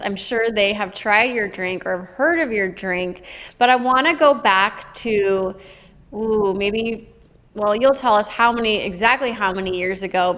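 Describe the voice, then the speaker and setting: A woman's voice: mid-range voice, a bit bright. A woman hosting a podcast, introducing and questioning her guest in an upbeat, chatty way. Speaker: podcast host. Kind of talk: podcast interview. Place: home studio. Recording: long reverb.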